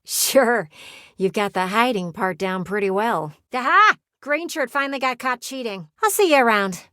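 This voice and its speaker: A woman's voice: fairly high pitch, animated, moderate pace, pushed and bright, no echo. An actress voicing a talking window in a video game, a flirty yet wistful female people-watcher with a folksy, teasing, theatrical drawl. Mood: gossipy, wistful. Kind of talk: video game character voice acting. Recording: close, dry studio recording.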